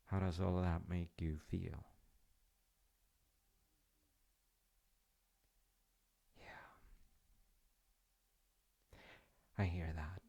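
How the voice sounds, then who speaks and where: A man playing a gentle, reassuring, slightly goofy dad in an intimate roleplay, talking in a soothing, hesitant, conversational way. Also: sits low, vocal fry, heavily acted. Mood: gentle concern. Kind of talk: intimate roleplay monologue with storytelling.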